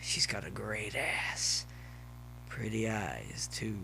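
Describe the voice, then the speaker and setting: A man's voice: fairly low pitch, wildly swooping pitch, takes it slowly, gravelly, background noise, exaggerated voice. Man doing a sluggish, druggy college stud pony. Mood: sluggish, lecherous. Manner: sluggish slacker drawl. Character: laid-back druggy male college stud pony, crude and lecherous.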